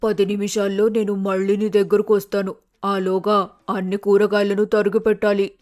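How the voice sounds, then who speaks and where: A woman's voice: mid-range voice, completely flat delivery, moderate pace, a little mellow, close to an everyday voice. A woman voicing a stern, practical mother bird in a cartoon moral story, nagging and scolding in a matter-of-fact way. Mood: firm.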